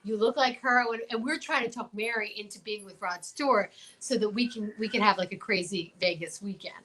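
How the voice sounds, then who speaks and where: A woman co-hosting a casual show, chatting and telling stories in an animated, gushing, joking way. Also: mid-range voice, brisk, a little hiss or hum, poor audio. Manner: animated, gushing, jokey storytelling. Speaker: show co-host. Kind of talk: casual comic chat. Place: remote livestream from quarantine at home.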